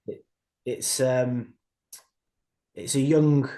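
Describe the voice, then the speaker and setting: A man's voice: mid-range voice, steady tone, very slow, mellow. A man from North Wales, a podcast guest, talking in an easygoing way with hesitant restarts. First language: English. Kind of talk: casual chat.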